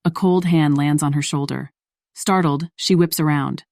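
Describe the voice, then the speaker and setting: A woman's voice: sits low, fairly even intonation, normal speed, darkish tone, dry recording, clean studio sound. A woman reading scripted story narration in the role of narrator.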